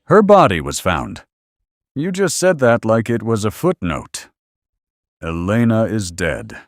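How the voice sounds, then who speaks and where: A man playing a skeptical, sarcastic podcast listener growing unnerved by a horror tale, reacting with deadpan disbelief. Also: fairly low pitch, unhurried, dry recording, clean studio sound. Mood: incredulous. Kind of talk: scripted horror podcast banter.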